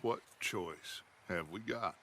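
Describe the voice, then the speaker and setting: An actor playing a charismatic, commanding middle-aged outlaw gang leader in a western game, with a theatrical, oratorical delivery. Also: male voice, low-pitched, takes it slowly, bright, forceful tone, full character voice. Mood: impassioned. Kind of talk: dramatic western game acting.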